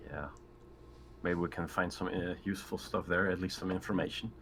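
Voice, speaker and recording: Deep-voiced man playing a tabletop game. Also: steady tone, a little fast, a bit bright, slight background noise. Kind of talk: casual in-game discussion.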